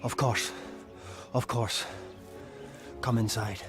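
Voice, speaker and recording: An actor playing an odd, wary middle-aged wizard with a halting manner, in a dramatic game scene. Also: male voice, mid-range voice, fairly even intonation, music in the background, close to an everyday voice. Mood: distracted, uneasy. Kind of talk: dramatic film-style game acting.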